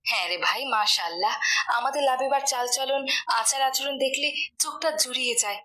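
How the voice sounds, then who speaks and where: A woman's voice: high-pitched, a little fast, bright, forceful tone. A woman voicing an exasperated, scolding middle-aged mother in a cartoon. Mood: complaining. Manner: scolding, complaining.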